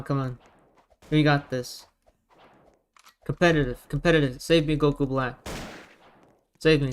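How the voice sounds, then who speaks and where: A man's voice: a little high, a little hiss or hum. Sex: man. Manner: rallying gamer. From United States. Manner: rallying teammates with quick repeated calls. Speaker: gamer streamer. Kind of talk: casual gameplay commentary.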